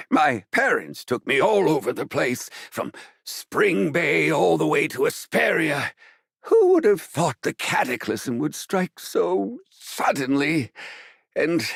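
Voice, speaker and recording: A man playing a brash, teasing, world-weary funeral agency boss in an animated game, with a gravelly voice and a theatrical manner. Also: mid-range voice, dry recording, studio quality, full character voice.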